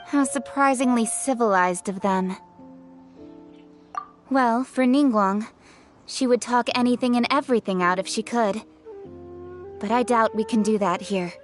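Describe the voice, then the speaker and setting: A woman's voice: fairly high pitch, a little slow, pauses often, soft background music, natural voice. A woman playing a proud, forthright young female official in a video game, speaking formally and assertively. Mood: critical, wry. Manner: formal, assertive, crisp phrasing.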